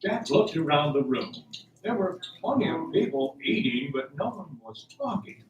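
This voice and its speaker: Male narrator for radio drama, fairly high voice, scripted read, off-mic with faint noise. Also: big pitch swings, moderate pace, muffled recording. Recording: roomy, distant, faint background noise.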